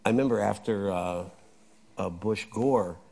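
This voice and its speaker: Low, gravelly man rambling on a panel. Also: unhurried, darkish tone. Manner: rambling, repeats words. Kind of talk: panel discussion on stage. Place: festival stage.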